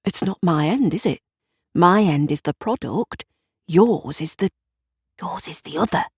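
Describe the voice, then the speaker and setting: A woman's voice: fairly low pitch, expressive, measured pace, darkish tone, slightly rough, no room sound, clean studio sound, heavily acted. A woman voicing a shifty, grumbling male small-time crook in an audio drama, pressing and blustering. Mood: defiant.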